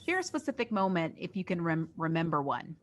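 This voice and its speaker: A woman journalist hosting a podcast, reading a scripted introduction in a clear, measured way and then putting questions to her guests. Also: on the low side, sing-song, a little hiss or hum.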